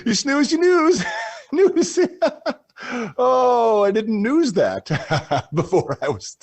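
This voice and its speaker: An actor playing an unhinged, giddy radio news and weather man who talks haltingly and repeats himself in a parody report. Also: very high voice, sing-song, exaggerated voice. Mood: manic. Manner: halting, repetitive, breaking into manic confusion.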